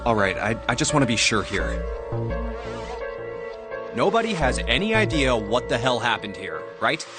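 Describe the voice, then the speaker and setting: Man playing an earnest young hero, animated. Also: mid-range voice, moderate pace, pauses often, over music, close to an everyday voice. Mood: baffled. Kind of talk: anime game voice acting.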